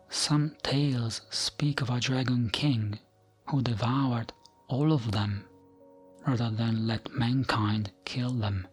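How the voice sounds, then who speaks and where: A man gives a scripted ASMR bedtime narration at a slow pace. His voice is soft, breathy and close to a whisper, with a mid-range pitch. The delivery is gentle and soothing, and music plays faintly behind him. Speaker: ASMR gaming narrator and channel host.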